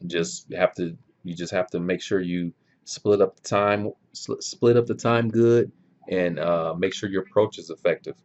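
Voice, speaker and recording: A man's voice: low voice, fairly even intonation, normal speed, darkish tone. A man hosting a language-learning channel, answering viewer questions in an easygoing, unscripted way and thinking aloud as he goes, filmed on his own camera. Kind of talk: casual unscripted chat. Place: filming himself on camera at home. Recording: dull lo-fi recording.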